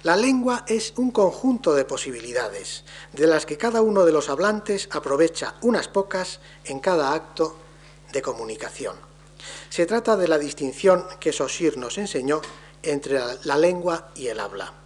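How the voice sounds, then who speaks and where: A man delivering a formal academic lecture on linguistics in a measured, deliberate way, his phrases trailing off softly. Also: a little high, very animated, husky.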